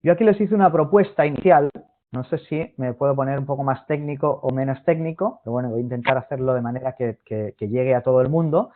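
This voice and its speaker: A man's voice: mid-range voice, quick pace. A man giving a hesitant online presentation, full of fillers and restarts. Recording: tinny phone-like line.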